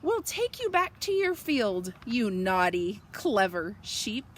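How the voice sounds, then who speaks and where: A woman voicing a cross but softhearted woman farmer from a children's storybook, in an exclaiming, scolding manner. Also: mid-range voice, big pitch swings, slow pace, slight background noise. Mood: fond.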